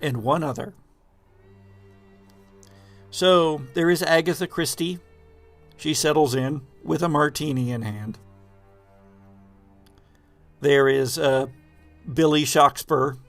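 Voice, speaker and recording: A man narrating an improvised scene as game master of a tabletop horror game, with halting, deliberate descriptive phrasing. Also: a little high, soft background music.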